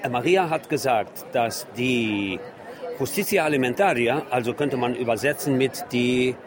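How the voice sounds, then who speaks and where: A man's voice: medium pitch, normal speed. A man working as a radio reporter, describing and interviewing on location in an unscripted, descriptive way. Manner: unscripted, descriptive, listing what is around. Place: large indoor exhibition hall at a fair. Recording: busy trade fair hall with crowd. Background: crowd murmur.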